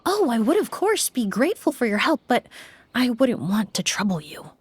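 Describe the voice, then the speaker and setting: An actress voicing a refined young woman perfumer in a video game, speaking in a measured, articulate way. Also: on the high side, sing-song, slight background noise. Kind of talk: game voice acting.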